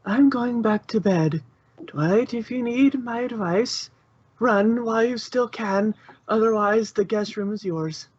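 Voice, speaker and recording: A woman's voice: on the high side, unhurried, darkish tone, breathy edge, no room sound, dull audio, pitched above the performer's own voice. A woman voicing a blunt, regal pony princess who speaks formally and brusquely in a storybook reading. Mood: exasperated.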